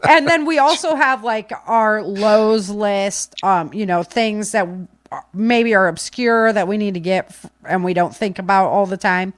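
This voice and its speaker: A middle-aged American woman from Michigan chatting informally as a podcast host, telling stories in an animated, slangy way. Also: medium pitch, a little slow, no echo. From Michigan, United States. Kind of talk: casual conversational podcast chat.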